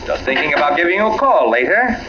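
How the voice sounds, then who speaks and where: Animated 1940s radio mystery husband, muffled. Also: male voice, a little high, fast, over a noisy crowd. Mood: concerned. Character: Ted, uneasy suburban husband, polite but probing.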